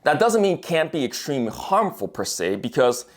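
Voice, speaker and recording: A man's voice: fairly high pitch, very animated, fairly quick. Blunt, rambling young man. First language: English. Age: young adult. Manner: blunt, profane, rambling with restarts. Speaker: writer and designer. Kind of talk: casual monologue to camera.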